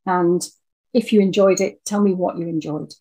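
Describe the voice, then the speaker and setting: Slightly husky woman, conversational guest. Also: on the low side, fairly even intonation, normal speed, mellow. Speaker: interview guest, audio dramatist. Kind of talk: conversational interview answers.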